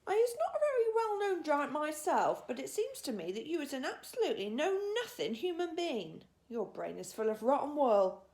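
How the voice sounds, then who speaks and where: A big old friendly giant man played by a woman reading a storybook speaks with great emphasis. His pitch is raised and leaps about freely. His grammar is muddled and peppered with made-up words. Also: big pitch swings, moderate pace, few pauses, a little mellow. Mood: emphatic. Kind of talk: children's storybook character reading.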